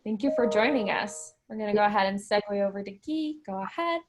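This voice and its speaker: A woman's voice: mid-range voice, lively intonation, fairly quick. A woman moderating an online alumni panel over video call, enthusiastic and encouraging as she introduces guests. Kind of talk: upbeat online panel hosting.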